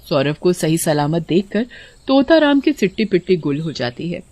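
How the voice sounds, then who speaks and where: A woman's voice: fairly low pitch, very animated, moderate pace, a little mellow, no room sound. A woman giving scripted narration for a cartoon story.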